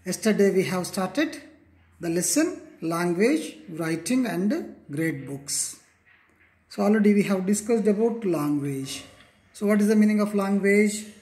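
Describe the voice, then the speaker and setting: A teacher from Telangana, a native Telugu speaker with a Telugu Indian English accent, gives a classroom lecture, repeating points and checking in with okay and clear. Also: medium pitch, lively intonation, measured pace, darkish tone. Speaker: school teacher. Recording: echoey room.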